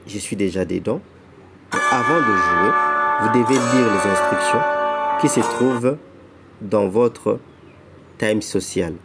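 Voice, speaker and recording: A man giving a casual walkthrough of an app, halting and often repeating words and restarting phrases, who says he is not an English speaker. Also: fairly low pitch, over loud music. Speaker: app tutorial presenter. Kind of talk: casual screen-recorded walkthrough.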